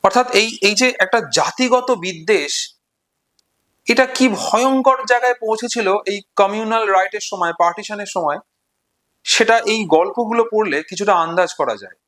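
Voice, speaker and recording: Male literature presenter, Bengali accent, high voice, measured storytelling and commentary with emphatic repetition. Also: a bit bright.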